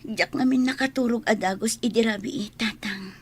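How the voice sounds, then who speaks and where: A woman's voice: fairly high pitch, moderate pace, husky. An actress playing a worried, long-suffering wife and mother in an Ilocano radio drama, earnest and emotional, with sighs. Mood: weary, evasive.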